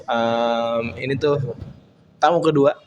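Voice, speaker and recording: Pria logat Makassar, host podcast santai. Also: male voice, mid-range voice, fairly even intonation, a little mellow, a little hiss or hum. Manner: playful, joking, quick banter. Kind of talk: casual podcast chat.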